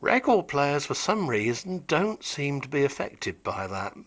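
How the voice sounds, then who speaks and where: A man's voice: a little high, very animated, a little slow, fairly bright tone. A man casually demonstrating vintage audio equipment he repaired, explaining in a chatty way with wry asides.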